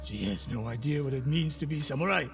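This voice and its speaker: An actor playing a stern, proud older samurai archery master in a video game, speaking in a terse, commanding, dry way. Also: male voice, fairly high pitch, fast talker, vocal fry, over music. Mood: bitter, defensive. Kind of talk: dramatic cinematic game dialogue.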